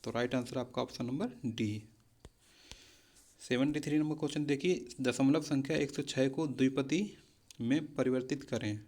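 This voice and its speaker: Male coaching teacher, mid-pitched voice, instructional delivery walking students through quiz answers. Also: normal speed, a little mellow. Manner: instructional, repeatedly checking key points. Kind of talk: explanatory quiz lesson, addressing students as friends.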